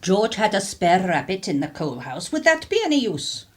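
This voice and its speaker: A woman's voice: mid-range voice, big pitch swings, moderate pace, husky. A woman playing a nosy, self-satisfied English neighbour, breezily generous, in a radio play. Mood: apologetic. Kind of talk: British radio play acting.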